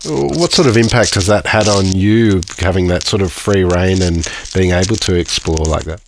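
A man's voice: low voice, moderate pace, slight background noise. A man hosting a podcast, reading a scripted intro and then asking questions with frequent hesitations and fillers. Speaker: podcast host, interviewer.

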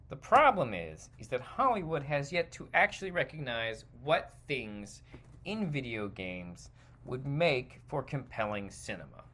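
Guy podcaster going off on a rambly rant, kinda high voice, really swoopy and emphatic. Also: a bit bright. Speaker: podcast host. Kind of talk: casual opinionated rant, stream of consciousness.

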